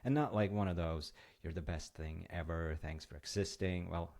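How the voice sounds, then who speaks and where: A man playing a gentle, reassuring, slightly goofy dad in an intimate roleplay, talking in a soothing, hesitant, conversational way. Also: deep voice, fairly even intonation, moderate pace. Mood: fond storytelling. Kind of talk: intimate roleplay monologue with storytelling.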